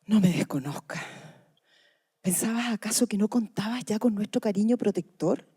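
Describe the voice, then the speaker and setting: A woman's voice: mid-range voice, normal speed, warm tone, husky. A woman playing a protective, grieving mother pleading with her grown daughter in a dramatised radio drama reading. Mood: tender, protective.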